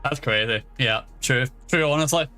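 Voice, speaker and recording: A man's voice: mid-range voice, normal speed. A man streaming a game, giving casual live commentary in a slangy, off-the-cuff way. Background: soft background music.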